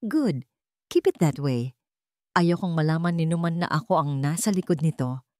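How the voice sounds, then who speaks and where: Woman voicing a calm, guarded Filipino gentleman farmer. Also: sits low, moderate pace, dry recording, clean studio sound. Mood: commanding. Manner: calm, direct. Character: guarded young male farmer who is secretly a CEO.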